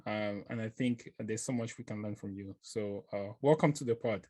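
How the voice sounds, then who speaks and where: A man hosting a podcast, introducing and questioning a guest in a halting, friendly way with many fillers. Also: fairly low pitch, steady tone, dark tone. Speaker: podcast host. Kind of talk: casual podcast interview.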